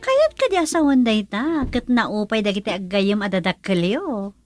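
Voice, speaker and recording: An actress with a northern Philippine accent playing a frank, supportive young best friend in a radio drama, animated and questioning. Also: female voice, medium pitch, normal speed, soft background music, natural voice. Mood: frank, curious. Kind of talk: Ilocano radio drama acting.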